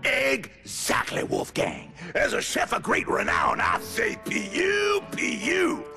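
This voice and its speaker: Wildly swooping, snobbish villain chef. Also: male voice, extremely high pitch, wildly swooping pitch, takes it slowly, creaky, sharp tone, faint music behind, full character voice. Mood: snide. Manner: showy, exclaiming. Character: flamboyant self-important male chef villain, fussy and snide. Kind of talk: animated game cutscene acting.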